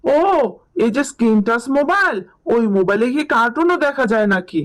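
A performer voicing a gentle, reassuring animal father in a children's cartoon, speaking in a soothing, earnest manner. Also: medium pitch, animated, a little fast, close to an everyday voice. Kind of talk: Bengali children's cartoon voice acting.

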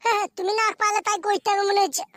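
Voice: very high-pitched, level tone, fast talker, a bit bright, dry recording, studio quality. A performer voicing a cheeky, whiny, foul-mouthed young bridegroom in a slapstick comedy cartoon. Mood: cheeky, eager.

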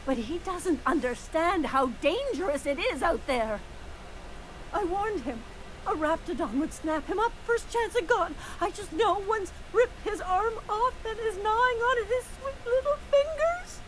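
High-voiced fretful frontier mother. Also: animated, a little slow, poor audio. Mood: tearful horror. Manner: folksy, fretful, melodramatic. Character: frantic, overprotective, melodramatic frontier mother. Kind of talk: video game character dialogue.

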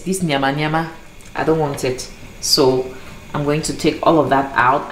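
Low-voiced woman cooking in echoey kitchen. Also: slight background noise. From Cameroon. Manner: enthusiastic, explains each step while cooking. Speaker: cooking show host. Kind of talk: cooking tutorial. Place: home kitchen, indoors. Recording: echoey kitchen with faint music.

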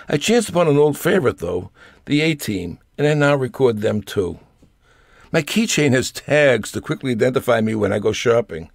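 An older man narrates his own personal essay. His voice sits in a middle register and has a gravelly creak. He reads with wry grumbling, and the recording is very dry, with no room sound. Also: mid-range voice, a little mellow, dry recording. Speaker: storyteller reading own essay.

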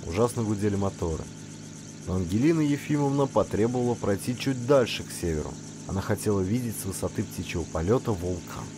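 A man reading a first-person literary story aloud as an audiobook narrator, in an expressive storytelling manner. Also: fairly low pitch, fast talker, background noise.

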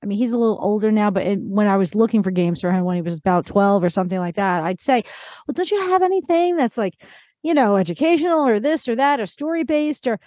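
A middle-aged woman chatting casually as a podcast host, with an animated, chatty manner. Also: medium pitch, fast talker, no room sound, studio quality. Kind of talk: casual interview chat.

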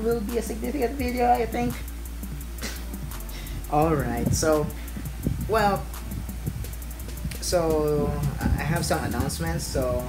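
A man's voice: on the high side, poor audio. A young man with a Filipino accent, a native Filipino speaker, vlogging casually in a small room into a handheld phone, rambling and correcting himself with frequent chuckles. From Philippines. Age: young adult. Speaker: tech vlogger. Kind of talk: casual vlog. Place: small home room indoors. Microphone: phone camera held at arm's length.

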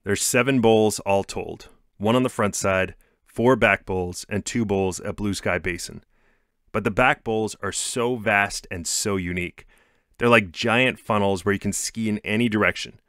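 A man's voice: fairly low pitch, measured pace, a bit bright, dry recording, studio quality. A young American man narrating his ski trip vlog in an upbeat, informative voice-over. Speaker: vlogger. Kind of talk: scripted travel narration. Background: light background music.

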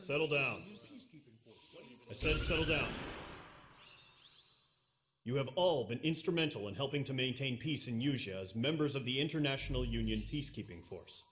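An actor playing a stern, commanding air force officer giving a formal, authoritative briefing in a video game. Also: male voice, medium pitch, expressive, normal speed, long pauses, background noise, natural voice.

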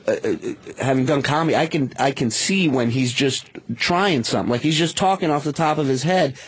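Male panelist, average pitch, emphatic, salty casual talk. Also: fairly quick, breathy edge. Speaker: comedian panelist. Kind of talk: casual discussion.

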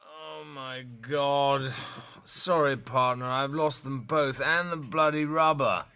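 An actor playing a cocky naval commander who seems tipsy at cards, slurring and careless, in a radio drama. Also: male voice, mid-range voice, fairly even intonation, slow pace, bright, forceful tone, clear, resonant tone. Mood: tipsy, rueful.

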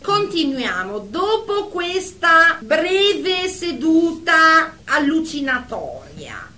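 A woman playing a stern, formal psychotherapist in a satirical radio drama, speaking in a pompous, clinical, deliberate way. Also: extremely high pitch, sing-song, sharp tone, a little hiss or hum, heavily acted. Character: stern, formal female psychotherapist leading group sessions. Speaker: actor playing therapist.

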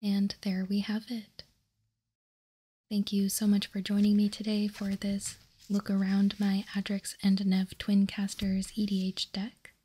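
A woman whispering softly and deliberately in ASMR style, close to the microphone as she hosts. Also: mid-range voice, completely flat delivery, slightly rough. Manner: soft, deliberate, unhurried whispering. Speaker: host. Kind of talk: whispered ASMR, reading trading cards aloud.

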